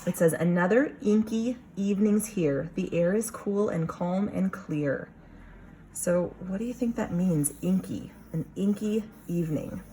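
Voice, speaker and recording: A woman with a fairly low voice reads to young children as their teacher. She takes her time and is expressive, stopping to ask questions and explain. There is noticeable background noise in the recording. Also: unhurried. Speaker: kindergarten teacher reading aloud. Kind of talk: children's picture book read-aloud with teacher asides.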